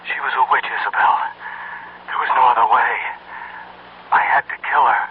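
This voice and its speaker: An actor playing a despairing middle-aged husband, hushed and broken, on the phone in an old radio drama. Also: male voice, medium pitch, steady tone, normal speed, a little hiss or hum, close to an everyday voice. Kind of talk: 1970s radio drama acting. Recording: tinny lo-fi old radio broadcast.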